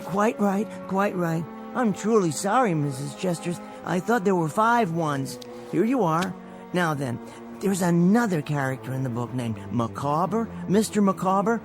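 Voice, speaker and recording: A man's voice: sits high, lively intonation, unhurried, music in the background. A man playing a meek, bookish, absent-minded bank teller in a radio drama, fussy, rambling and earnestly apologetic. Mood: flustered.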